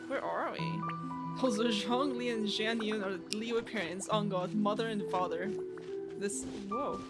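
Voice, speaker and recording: A woman's voice: medium pitch, fairly even intonation, a little slow. A woman streamer giving casual live reactions to a game as she plays. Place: streaming setup. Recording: loud game music behind.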